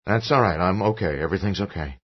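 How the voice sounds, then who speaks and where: This is a scared, desperate father who speaks urgently and demands answers, played by a male narrator. His voice is husky and sits a little low. The recording is dull and lo-fi. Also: quick pace. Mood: soothing. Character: frightened desperate father, fierce and shaken. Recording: dull lo-fi audio.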